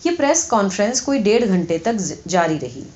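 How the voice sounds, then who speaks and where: A woman's voice: mid-range voice, big pitch swings, darkish tone. A woman reading a satirical short story as scripted audiobook narration. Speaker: audiobook reader. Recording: slightly dull, lo-fi audio.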